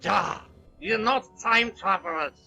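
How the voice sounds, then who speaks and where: A woman playing an excitable, spiteful villainess sidekick in an audio drama. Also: medium pitch, slow pace, soft background music, heavily acted. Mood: gleeful spite.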